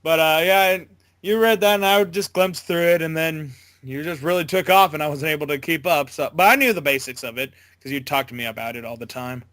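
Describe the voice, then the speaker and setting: Bright-voiced young-sounding man, halting chat. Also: fairly high pitch, no room sound. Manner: stammering, halting, backtracking. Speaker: interview guest, amateur voice actor. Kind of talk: casual chat.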